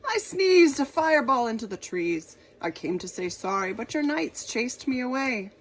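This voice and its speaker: A woman's voice: fairly high pitch, very animated, normal speed, rough recording. A woman voicing a big roaring dragon from a children's storybook, bellowing yet scared and apologetic. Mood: apologetic, plaintive. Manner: bellowing, plaintive. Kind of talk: children's storybook read-aloud.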